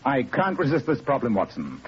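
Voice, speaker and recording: An actor playing a brilliant, cool English detective with a precise, superior manner in 1940s radio drama. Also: male voice, medium pitch, wildly swooping pitch, a little fast, soft background music. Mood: absorbed, superior. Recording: dull, noisy old radio recording.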